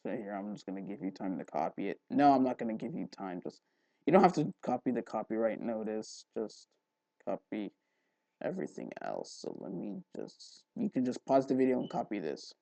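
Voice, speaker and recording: A man hosting a casual software tutorial, thinking aloud informally with mild exclamations. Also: on the low side, sing-song, fairly quick, vocal fry, dry recording. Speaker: tutorial host.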